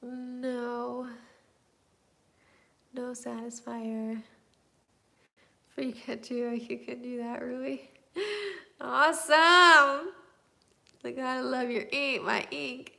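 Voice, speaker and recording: Slow gravelly woman in her thirties. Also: high voice, fairly even intonation, sharp tone. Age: 30s. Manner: easygoing, chatty, reads out and replies to comments. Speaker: livestream host. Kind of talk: casual live chat answering viewer comments.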